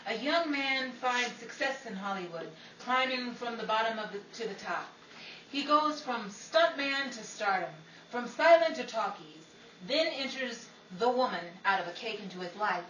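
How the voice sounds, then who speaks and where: Woman as aggrieved 1950s film actress, radio comedy. Forceful, theatrical, emphatic; echoey sound with faint noise. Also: on the high side, expressive, moderate pace, far from the mic. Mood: indignant, dramatic. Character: Betty, excitable, aggrieved 1950s Hollywood actress full of movie ideas. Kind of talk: old-time radio comedy acting.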